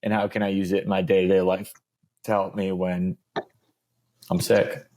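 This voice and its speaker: A man's voice: deep voice, flat delivery, normal speed, a little mellow. A young man co-hosting a podcast, chatting in a loose, upbeat way and leaning on filler words. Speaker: podcast co-host. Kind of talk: casual chat.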